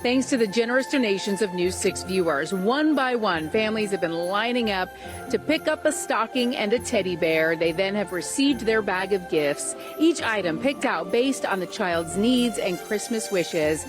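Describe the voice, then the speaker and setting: A woman's voice: medium pitch, animated, normal speed, music in the background. A woman reporting for TV news in a scripted narration style.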